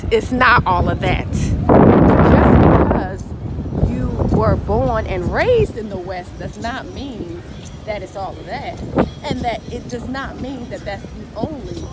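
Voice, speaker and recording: A woman vlogging as she walks along an outdoor beach, talking slowly and earnestly into a handheld phone. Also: mid-range voice, expressive, loud music behind the voice, rough recording. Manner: slow, preaching and earnest. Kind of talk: walking vlog narration. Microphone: phone held while walking. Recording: loud wind noise on phone mic. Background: wind and waves.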